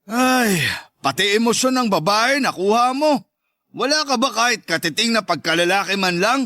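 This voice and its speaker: A man playing a stern, proud, dying father in a radio drama, speaking gravely and insistently. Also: high voice, lively intonation, moderate pace, pushed and bright, dry recording, studio quality. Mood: scornful, stern. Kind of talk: Tagalog radio drama acting.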